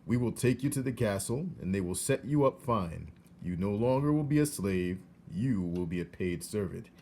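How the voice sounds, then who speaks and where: A dutiful storybook soldier of the king, as a man reads him, stern and formal. The voice is lowish and emphatic, with clear noise behind it. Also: lively intonation, normal speed, dark tone. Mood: reassuring. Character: stern, dutiful king's soldier delivering royal orders. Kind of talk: storybook character reading.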